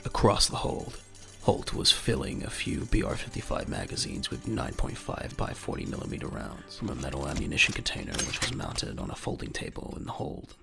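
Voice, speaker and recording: A man narrating a scripted audio drama in a measured, dramatic, gravelly voice. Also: a bass voice, huge pitch swings, over music.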